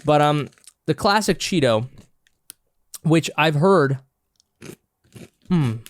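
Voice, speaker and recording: A man's voice: a little high, expressive, slow pace, no echo. A congested man hosting a podcast, chatting casually and rambling with many restarts while he snacks. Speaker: podcast host. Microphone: own camera and mic, close and dry.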